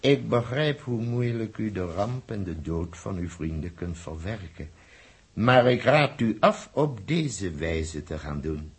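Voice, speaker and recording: An actor playing an evasive, condescending doctor in a 1960s Dutch radio drama, speaking formally and with measured pomp. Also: male voice, on the low side, animated, slightly rough, heavily acted.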